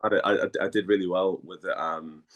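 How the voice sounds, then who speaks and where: Male interview guest from Denmark, deep register, informal and hesitant, conversational delivery. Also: fairly even intonation, normal speed, a bit bright, muffled recording. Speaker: interview guest, voice actor and former model.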